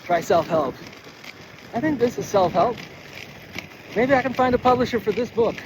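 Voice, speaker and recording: Against a noticeable backdrop of outdoor traffic noise, a man with a slightly high voice teaches informally as a writing coach. He rambles and stammers, restarting his thoughts and drifting into digressions as he thinks out loud. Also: lively intonation, normal speed. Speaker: writing coach, presenter of a video series. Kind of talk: rambling informal lesson to camera.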